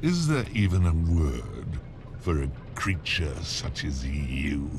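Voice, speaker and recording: Demonic game villain, very low voice, swooping theatrical scorn, noisy ambience behind. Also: male voice, wildly swooping pitch, heavily acted. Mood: scornful taunting. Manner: slow, taunting, grandiose delivery. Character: mocking demonic male overlord, theatrical and contemptuous villain. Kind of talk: video game villain acting.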